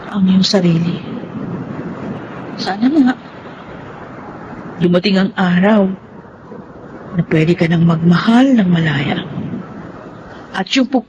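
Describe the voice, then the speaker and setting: A woman's voice: mid-range voice, close to an everyday voice. An actress with a Filipino accent playing a dignified, hurt young woman in a radio drama, speaking earnestly and solemnly. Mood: sorrowful. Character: Veron, wounded, proud, dignified young woman in love. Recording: dull radio audio under loud music.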